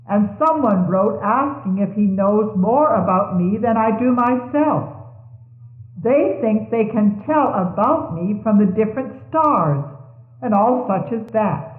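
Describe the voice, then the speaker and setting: Slow, high-voiced woman reading sermons, thin echoey tape. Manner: measured, deliberate, formal. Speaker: religious reader. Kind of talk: solemn scripture-like reading aloud of sermon messages. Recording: 1970s tape, thin phone-like band, echoey room.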